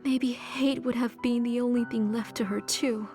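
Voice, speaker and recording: An actress playing a grieving, bitter mother in a video game drama, speaking in a halting, anguished way. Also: a little high, fairly even intonation, darkish tone, faint music behind, close to an everyday voice. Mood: anguished grief.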